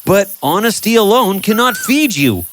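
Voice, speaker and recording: A man's voice: sits high, sing-song, normal speed, fairly bright tone, a little hiss or hum, pitched above the performer's own voice. A man voicing a concerned village friend in a storybook tale, persuasive and insistent as he gives advice. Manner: insistent, advising.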